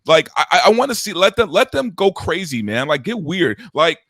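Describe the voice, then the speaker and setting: A man's voice: on the high side, sing-song, normal speed, fairly bright tone, dry recording, clean studio sound. A man on a panel chatting casually and emphatically, often repeating himself to press his opinion.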